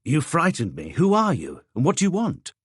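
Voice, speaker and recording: A man's voice: on the high side, huge pitch swings, normal speed, husky, dry recording, studio quality, pitched above the performer's own voice, exaggerated voice. A man voicing a suspicious, brusque painter in an audiobook, abrupt and scornful in manner. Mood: startled, demanding. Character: suspicious, brusque male painter, distrustful of women.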